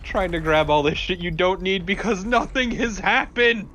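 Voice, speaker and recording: A man's voice: high-pitched, normal speed, sharp tone, a little hiss or hum. A man co-hosting a let's play, commenting on the game in casual, sweary chat. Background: game music.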